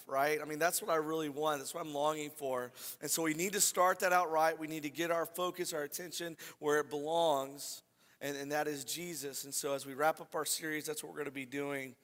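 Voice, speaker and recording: A man preaching as a pastor in a conversational, informal way, repeating phrases and checking in with listeners, his voice a little scratchy and hoarse. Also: fairly high pitch, fairly bright tone. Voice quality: slightly scratchy. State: slightly hoarse from voice loss. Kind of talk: conversational sermon to a congregation. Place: church hall. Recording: reverberant hall sound.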